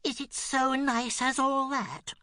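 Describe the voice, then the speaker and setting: A woman's voice: high voice, steady tone, slow pace, sharp tone, no room sound, pitched above the performer's own voice, exaggerated voice. A woman voicing a shy, eager little male mole from a children's storybook, earnest and given to exclamation. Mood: shy wonder.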